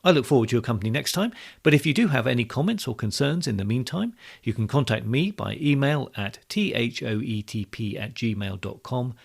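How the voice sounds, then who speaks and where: Casting: British male podcast host, medium pitch with noticeable vocal fry, measured and orderly scripted read. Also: lively intonation. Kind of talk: scripted podcast outro narration.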